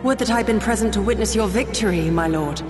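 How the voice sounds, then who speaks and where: Actress as loyal female shinobi, mid-pitched voice, deferential, grave, formal fantasy game lines, music behind. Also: quick pace, lightly acted. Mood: respectful.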